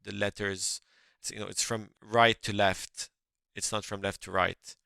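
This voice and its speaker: A man's voice: fairly low pitch, little pitch movement, a little slow, creaky, bright, forceful tone, smooth, no echo, studio quality. A middle-aged man who grew up in France and speaks English as a later language, answering interview questions in an earnest way, often restarting his words. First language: French. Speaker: guest, company founder.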